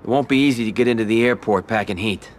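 An actor playing a tough, loyal mobster in a game cutscene, with a direct, plain-spoken delivery. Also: male voice, on the low side, quick pace, a little hiss or hum, lightly acted. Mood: businesslike. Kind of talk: scripted video game cutscene acting.

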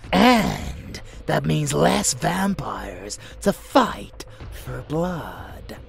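A man playing an unhinged, swaggering, seductive vampire lover in a dark romance audio roleplay, slow and theatrical, often slipping into a gravelly whisper. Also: medium pitch, exaggerated voice.

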